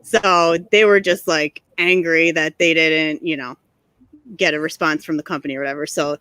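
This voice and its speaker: A woman who makes motorcycle videos, chatting casually on a livestream from her garage, sarcastic and quick to laugh, with lots of fillers. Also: low-pitched, steady tone, sharp tone, clean tone, dry recording, poor audio. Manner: laughs often, sarcastic, filler-heavy storytelling. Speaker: guest, motorcycle video creator. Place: home garage.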